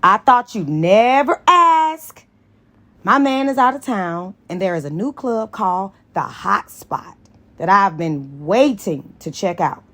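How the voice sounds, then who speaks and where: Woman voicing a sassy, sharp-tongued female friend. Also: medium pitch, a little slow, pitched above the performer's own voice. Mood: eager, bossy. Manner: animated, mouthy, slangy. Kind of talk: dramatized audiobook dialogue.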